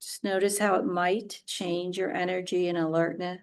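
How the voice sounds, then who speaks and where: A woman teaching yoga nidra, guiding a meditation in a soothing, unhurried way with short, spaced phrases. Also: fairly low pitch, flat delivery, clear, resonant tone. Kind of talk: guided relaxation meditation.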